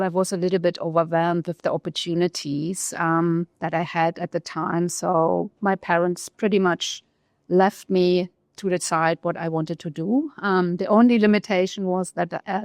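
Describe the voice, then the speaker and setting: A woman with a German accent, a research economist telling her career story in an interview, pausing often with fillers. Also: fairly low pitch, creaky, darkish tone, no room sound, studio quality. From East Germany. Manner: thoughtful, pauses often with fillers.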